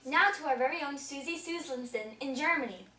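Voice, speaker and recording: A woman's voice: high voice, brisk, pushed and bright. A young woman co-anchoring an amateur mock newscast in a theatrical, scripted style. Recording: echoey room, distant, some noise.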